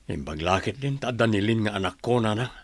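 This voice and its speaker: A man's voice: lowish voice, animated, fairly quick, no echo. A man acting a betrayed husband and loving father in a radio drama, earnest and emotional. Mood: resigned.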